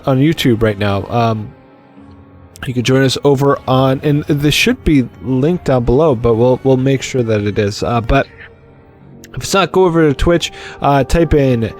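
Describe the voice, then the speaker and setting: A man's voice: medium pitch, a little mellow. A man hosting a live-streamed game let's play, talking casually with lots of restarts and fillers.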